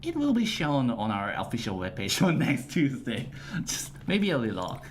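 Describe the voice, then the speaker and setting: A man hosting a casual game livestream, talking in halting, filler-heavy phrases. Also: mid-range voice. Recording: steady background noise with typing.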